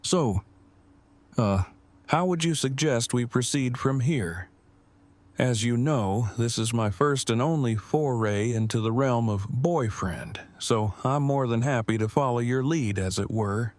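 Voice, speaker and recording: A man's voice: medium pitch, measured pace, darkish tone, close to an everyday voice. An actor playing an earnest, long-winded nerdy man in an audio drama, with formal and precise wording. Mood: awkward, agreeable. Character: earnest, awkward, verbose nerdy man newly in love.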